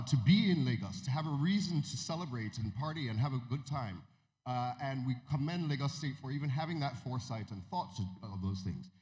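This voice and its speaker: A man's voice: medium pitch, darkish tone, breathy edge. Nigerian man giving a keynote in a reverberant hall. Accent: American-tinged Nigerian English. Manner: conversational, asks the audience questions, jokes asides. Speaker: keynote speaker. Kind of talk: conference keynote talk. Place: large conference hall.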